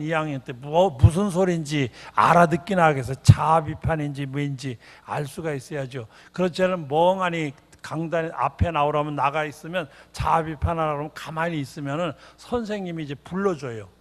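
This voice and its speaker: An older retired pastor, born in North Korea, preaching a revival sermon in a church with repeated rhetorical questions. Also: male voice, on the high side. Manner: sings a hymn, then preaches with repetitive rhetorical questions. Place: church sanctuary. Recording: long church reverb.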